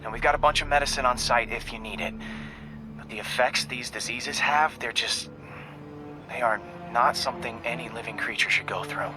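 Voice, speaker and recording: A man's voice: medium pitch, lightly acted, low-quality recording. A man playing a somber marine lab worker. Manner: somber, measured. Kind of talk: video game dialogue. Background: game music.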